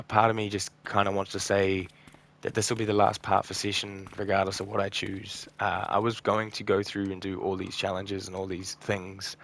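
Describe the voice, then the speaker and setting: A man hosting a gaming video, talking casually over gameplay in a croaky, slightly raspy voice, tired and burnt out, rambling with ums and trailing off. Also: on the low side, fairly bright tone. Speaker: gaming channel host.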